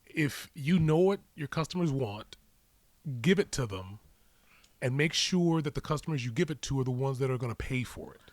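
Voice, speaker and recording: A man's voice: medium pitch, lively intonation, moderate pace, no room sound. A man co-hosting a podcast with casual, conversational commentary, arguing his points and repeating phrases for emphasis. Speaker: podcast co-host.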